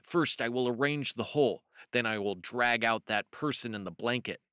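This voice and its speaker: A man voicing a fussy, vengeful male fox in a children's storybook, fastidious and emphatic. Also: medium pitch, fairly bright tone, clear, resonant tone, no echo, studio quality, close to an everyday voice. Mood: gloating, fussy. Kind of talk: children's storybook read-aloud.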